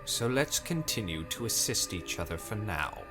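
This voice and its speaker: An actor playing a pompous, impatient and menacing high cleric villain in a dark fantasy drama. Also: male voice, big pitch swings, moderate pace. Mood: calculating. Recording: loud music behind the voice. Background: music.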